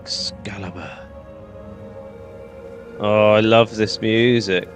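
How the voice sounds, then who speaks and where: A man's voice: fairly low pitch, steady tone, takes it slowly. A man giving casual gameplay commentary, chatty and thinking aloud. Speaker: gaming YouTuber. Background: game music.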